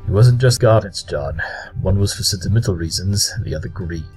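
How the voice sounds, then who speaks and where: A man's voice: low voice, brisk, darkish tone, breathy edge, faint music behind. A man playing an aloof, haughty pony detective in an audio drama, precise and pedantic in speech. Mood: smug, measured. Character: aloof male pony detective, Sherlock Holmes parody.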